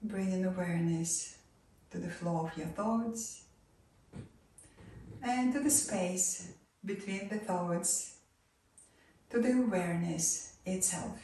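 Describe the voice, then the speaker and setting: A woman leading a guided relaxation and meditation, giving soft, calm instructions that sometimes drop to a near whisper. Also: fairly low pitch, slow pace, long pauses, slight background noise. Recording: roomy echo, voice far from mic.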